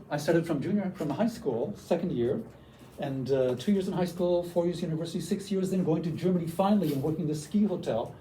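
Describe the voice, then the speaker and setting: A man's voice: on the high side, warm tone, distant mic, a little hiss or hum, low-quality recording. An older man from Canada giving a teacher workshop, enthusiastic and often checking in with "okay?". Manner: enthusiastic, tags lines with "okay?". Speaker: workshop presenter.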